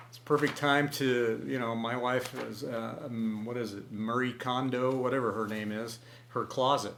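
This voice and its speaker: A man telling stories at home, talking in a folksy, encouraging way with many pauses. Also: medium pitch, fairly bright tone, slight background noise. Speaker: storyteller. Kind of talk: home storytelling video. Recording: echoey room.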